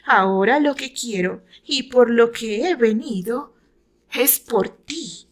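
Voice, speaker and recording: Woman acting out a seductive, pleading high-voiced female lover. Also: expressive, takes it slowly. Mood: insistent desire.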